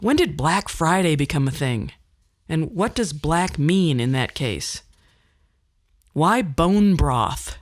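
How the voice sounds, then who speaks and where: A middle-aged woman delivering a scripted podcast monologue as host, phrasing things in a wry, deliberate way. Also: low-pitched, lively intonation.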